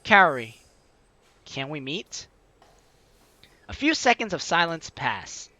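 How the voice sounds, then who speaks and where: A man's voice: fairly high pitch, big pitch swings, slow pace, bright, forceful tone, no room sound. A man hosting a let's play, expressively reading a story game's text aloud and reacting with enthusiasm. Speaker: gaming video host.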